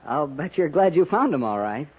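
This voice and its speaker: An actor playing an eager, polite junior cavalry officer, hesitant and deferential, in a 1950s radio western. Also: male voice, fairly high pitch, sing-song, moderate pace, husky. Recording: noisy, tinny 1950s radio recording.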